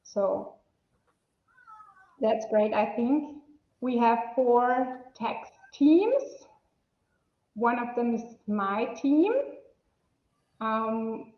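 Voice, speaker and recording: A woman speaking slowly on an online conference panel, introducing herself as a tax advisor with halting fillers. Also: on the high side. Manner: slow, halting, laughs lightly. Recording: roomy, dull lo-fi sound.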